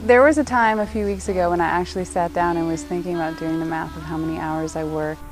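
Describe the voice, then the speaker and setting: A woman's voice: lowish voice, steady tone, quick pace, low-quality recording. A young woman farmer speaking earnestly in an interview, with a few fillers. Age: young adult. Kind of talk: casual interview. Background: music.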